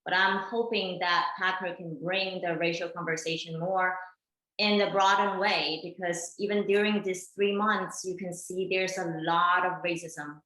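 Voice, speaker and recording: A woman's voice: fairly low pitch, fairly even intonation, measured pace, pushed and bright. A woman playing an earnest, reflective woman in a conversational documentary theater monologue, recorded in a roomy space.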